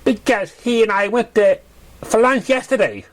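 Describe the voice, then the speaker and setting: A friendly, chatty mare from a pony storybook, voiced by a man, speaks in a raised, high pitch. She sounds like an easygoing girl among friends. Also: normal speed, slight background noise, natural voice.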